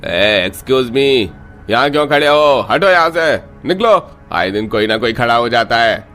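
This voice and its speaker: A male actor playing a gruff, bossy security guard shooing people away in a cartoon story. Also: medium pitch, lively intonation, unhurried, fairly bright tone, soft background music. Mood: annoyed. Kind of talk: Hindi cartoon story acting.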